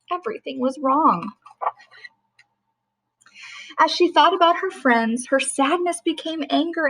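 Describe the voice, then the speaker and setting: A middle-aged woman, a teacher, reading a rhyming storybook aloud in a gentle, sing-song way. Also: sits high, low-quality recording.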